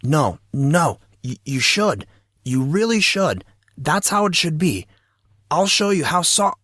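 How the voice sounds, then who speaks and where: Man playing a husky, animated teenage schoolboy. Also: on the high side, takes it slowly, dry recording, studio quality, full character voice. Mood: pleading. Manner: informal, youthful slang. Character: teenage schoolboy, emotional and bantering with close friends. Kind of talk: audio drama acting.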